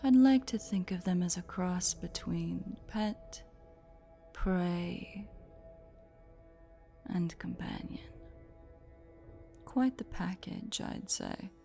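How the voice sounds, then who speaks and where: Slow, low, menacing spider-woman, acted by a woman. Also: gravelly, warm tone, soft background music, full character voice. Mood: smug, amused. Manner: slow, teasing, condescending coos. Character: possessive, sadistic female spider-woman drider, smugly playful. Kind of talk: roleplay audio drama.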